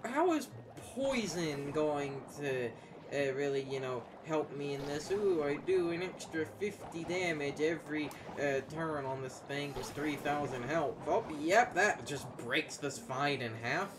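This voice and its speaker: A man's voice: on the high side, a little slow. A man giving casual, opinionated gaming commentary, sometimes hesitating mid-thought as he makes his point. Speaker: host of a let's play series. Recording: clear background noise.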